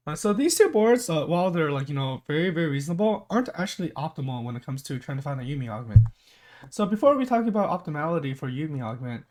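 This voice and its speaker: Hoarse, halting young man explaining strategy. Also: fairly high pitch, darkish tone. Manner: halting, many ums and uhs, clears throat often. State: sick, hoarse voice. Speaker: game strategy coach. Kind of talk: casual screen-share tutorial.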